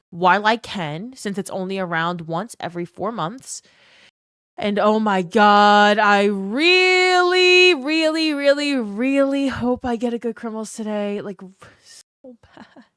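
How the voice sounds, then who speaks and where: Woman, lowish voice, smooth, dry close sound, slight fry at phrase ends. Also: fairly bright tone, dry recording. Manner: chatty, rambling, laughs often, thanks viewers by name. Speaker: streamer. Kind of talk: casual live-stream chat.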